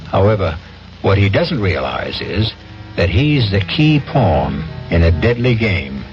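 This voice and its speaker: A man hosting a radio drama with ominous, deliberate scripted narration. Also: low-pitched, very animated. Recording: dull vintage radio broadcast with music.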